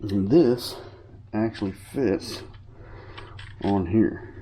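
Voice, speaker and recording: Deep-voiced sniffly man explaining his gear. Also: drawn-out words, warm tone, slight background noise. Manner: rambling, informal, with fillers and self-corrections. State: sniffly from a cold. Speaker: hobbyist showing his gear. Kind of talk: casual show-and-tell tutorial.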